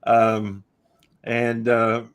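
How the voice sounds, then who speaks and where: A male guest writer talks football on a podcast. He speaks haltingly and fills his pauses with "uh." His pitch sits in an ordinary middle range. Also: completely flat delivery. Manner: halting, many fillers. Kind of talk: casual sports talk.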